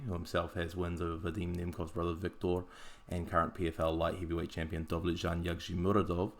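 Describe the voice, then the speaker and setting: A man with a New Zealand accent hosting a podcast, reading a scripted narration with dry, wry asides. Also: low voice. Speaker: podcast host.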